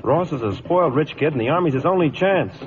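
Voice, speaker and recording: An actor playing a blunt, sarcastic young cavalry lieutenant in an old radio drama. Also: male voice, on the high side, lively intonation, quick pace, close to an everyday voice. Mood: blunt, scolding. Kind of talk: 1940s-50s radio drama acting. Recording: tinny, hissy vintage radio recording.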